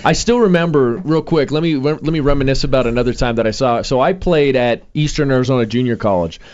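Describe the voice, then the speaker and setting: A man's voice: medium pitch, quick pace, darkish tone, highs cut off. A young man hosting sports talk, chatting casually with many fillers and restarts. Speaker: sports radio host.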